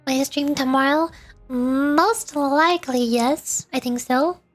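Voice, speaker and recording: A woman's voice: high-pitched, slow, soft background music. A woman chatting casually on a gameplay livestream, playful and given to saying cute sound-effect words aloud. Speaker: VTuber game streamer.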